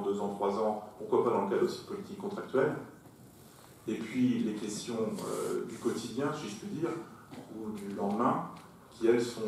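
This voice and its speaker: A man chairing a council meeting, explaining points formally in a measured, emphatic way. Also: lowish voice, a little mellow. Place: large echoey meeting room. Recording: very echoey hall, distant mic, faint noise.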